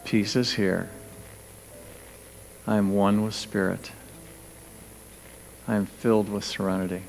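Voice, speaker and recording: A man leading a guided meditation, speaking gently and soothingly in short, spaced phrases with pauses. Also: lowish voice, level tone, vocal fry, low-quality recording. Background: background music.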